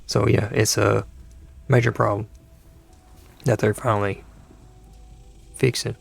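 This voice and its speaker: A man hosting a gaming channel, talking casually over gameplay with a dry throat and a hoarse voice, rambling and exasperated, often restarting his sentences. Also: on the low side, flat delivery, slow, soft background music. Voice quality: gravelly, creaky voice.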